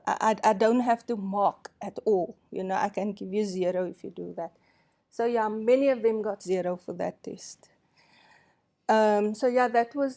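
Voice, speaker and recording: Slow-spoken woman lecturer. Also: a little high, dark tone. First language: Afrikaans. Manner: unhurried, candid, self-deprecating, laughs often. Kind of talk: presentation to colleagues.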